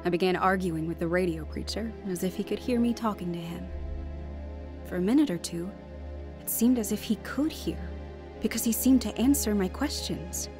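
An actress performs a troubled woman in a radio drama, telling of her fear of death. She is earnest and anguished, with a mid-pitched voice. Music plays clearly behind her. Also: normal speed, close to an everyday voice. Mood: somber, reflective. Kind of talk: radio drama acting with first-person narration.

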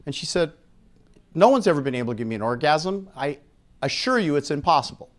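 A middle-aged American man lecturing to a live audience through a handheld microphone in a frank, emphatic way, pausing for effect and putting questions to the room. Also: on the high side, sing-song. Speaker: speaker at an expo seminar.

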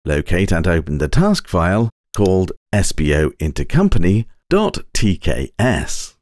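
Male tutorial narrator, low register, very varied intonation, precise scripted instructional read, dry sound. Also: very animated, a little mellow, no echo. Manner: measured, precise step-by-step instruction. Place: close, dry voice-over recording.

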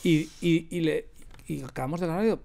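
A man with an accent from Spain chatting casually in an interview, animated and often repeating words for emphasis. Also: on the high side, fast, creaky. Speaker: radio drama creator, interviewee. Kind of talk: casual interview chat, telling anecdotes. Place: radio drama studio.

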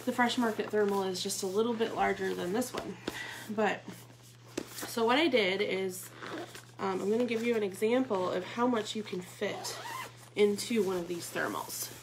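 A woman's voice: medium pitch, normal speed. A woman in her thirties casually showing off a tote bag live from her living room, talking chattily with lots of ums. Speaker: bag consultant demonstrating products. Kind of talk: live product demo. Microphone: phone or webcam at table distance. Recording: noisy Facebook Live stream.

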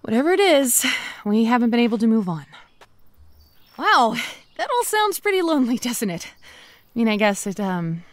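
A woman's voice: fairly high pitch, big pitch swings, normal speed, no room sound. A woman playing a sweet, lonely female zombie, chatting hesitantly and hopefully to the listener in an audio drama. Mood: wistful musing. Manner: hesitant, rambling, trailing off.

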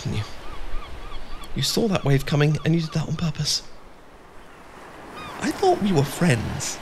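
A man's voice: fairly high pitch, noisy background, full character voice. A man with a British accent acting an awkward, easily flustered, bashful friend in a romantic ASMR audio roleplay, stammering and rambling as he goes. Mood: mock-offended.

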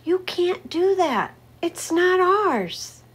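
A woman's voice: very high voice, big pitch swings, drawn-out words, pitched above the performer's own voice, exaggerated voice. A woman voicing a kind, fair-minded small animal in a storybook, speaking with worried, pleading concern. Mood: protesting. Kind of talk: storybook read-aloud character voice.

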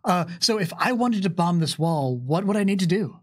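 High-voiced man playing a tabletop game. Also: normal speed. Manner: playful, expressive. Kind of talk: casual game-table chat. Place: indoors at a gaming table.

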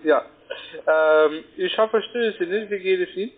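A man who is not a native German speaker, making a halting telephone sales pitch and often checking in with a short question. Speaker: cold-calling telephone salesman. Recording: tinny narrow-band phone line.

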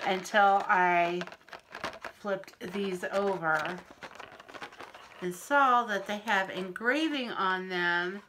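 Brief: middle-aged woman with a Pennsylvania accent, wheezy, slow and chatty, bright voice, small home kitchen, faint hiss. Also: medium pitch. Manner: chatty, unhurried, friendly show-and-tell. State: wheezy, clearing her throat. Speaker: craft haul vlogger. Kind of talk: casual haul video. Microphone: camera in front at arm's length.

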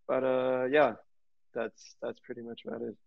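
A young man from Austria speaks casually on an online panel, somewhat hesitant. His voice is creaky and even in pitch, with dull video-call sound. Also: moderate pace. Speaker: panelist, film worker.

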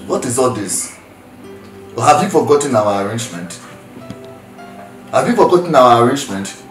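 A man's voice: mid-range voice, lively intonation, normal speed, lightly acted. A man playing a furious jealous Nigerian husband. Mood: indignant. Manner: shouting, repeating himself, pidgin-tinged. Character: angry jealous husband protesting wife's job. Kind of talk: comedy skit acting. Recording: echoey room, mic at a distance, music behind.

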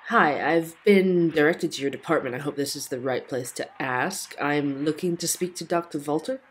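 Casting: woman as courteous, tentative reporter on a call, notably low voice, audio drama. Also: moderate pace, slight background noise, natural voice. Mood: polite, tentative. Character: polite, hesitant reporter calling for comment.

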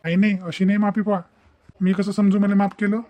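A man's voice: high voice, level tone, fairly quick, darkish tone, natural voice. A man voicing an earnest, remorseful young husband in a cartoon domestic story, pleading repetitively. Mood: coaxing.

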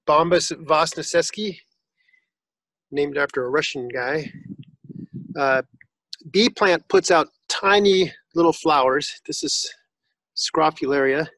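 A man with a fairly high voice walks a viewer through his backyard plants. He talks in a relaxed, informative way with small restarts. The sound is dry and close, recorded outdoors on a phone. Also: takes it slowly, long pauses, no room sound, poor audio. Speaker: garden owner giving a tour. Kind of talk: casual guided tour.

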